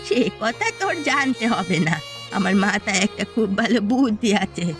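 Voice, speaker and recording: A woman's voice: mid-range voice, expressive, normal speed, over music, close to an everyday voice. A woman voicing a scheming, vengeful elderly mother who runs a village eatery in a cartoon, speaking confidently and conspiratorially. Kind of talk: cartoon story voice acting.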